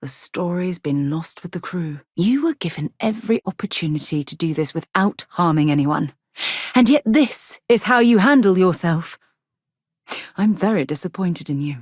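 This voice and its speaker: An actress playing a principled, earnest ex-military space explorer in a video game, articulate and expressive. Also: female voice, on the low side, normal speed, slightly rough, dry recording, studio quality. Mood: stern, disappointed. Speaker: companion character in a space game.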